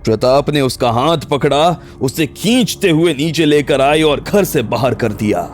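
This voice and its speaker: A man's voice: a little high, animated, measured pace, slight background noise. A man reading scripted narration for a dramatised audio story. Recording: music bed under the voice.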